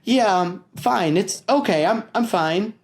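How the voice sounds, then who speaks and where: A man's voice: high voice, a little slow, voice pushed up. A man voicing an anxious, embarrassed young schoolboy in a children's story, flustered and stammering as he pleads. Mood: nervous evasive. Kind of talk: children's storybook read-aloud.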